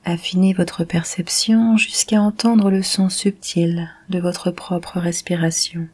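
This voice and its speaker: A woman guiding a yoga nidra meditation in a calm, measured, soothing manner. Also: fairly low pitch, husky. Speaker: meditation guide.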